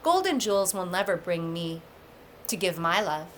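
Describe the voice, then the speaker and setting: A woman's voice: lowish voice, big pitch swings, normal speed. A woman voicing a proud young giant maiden in formal, haughty storybook speech, with noise in the recording. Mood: scornful. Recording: clear background noise.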